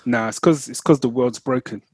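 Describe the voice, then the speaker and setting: A man's voice: mid-range voice, normal speed, a little mellow, husky, no echo. A man co-hosting a podcast in a casual, conversational style. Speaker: podcast co-host. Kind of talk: casual chat.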